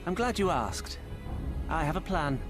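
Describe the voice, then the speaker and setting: An earnest young nobleman in a video game drama. His high, animated voice argues formally and with passion, over loud music. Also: measured pace. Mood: earnest conviction. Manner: formal, persuasive, impassioned. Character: earnest, eager young nobleman, the general's son.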